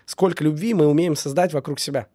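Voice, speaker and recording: Fast-talking man getting over a cold. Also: a little high, warm tone, no echo, studio quality. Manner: earnest, repeats words for emphasis. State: recovering from a cold. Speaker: business owner. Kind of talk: casual podcast conversation.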